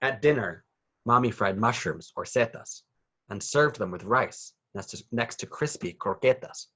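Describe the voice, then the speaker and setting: A man's voice: lowish voice, animated, measured pace, sharp tone, husky. A man reading a children's picture book aloud with rhythmic, sing-song phrasing. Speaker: children's story reader.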